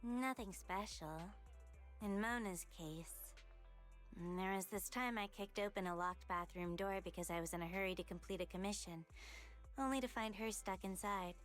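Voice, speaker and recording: A woman playing a laid-back, blunt teenage shark girl in a video game, talking in an unhurried, nonchalant way. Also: mid-range voice, faint music behind, lightly acted.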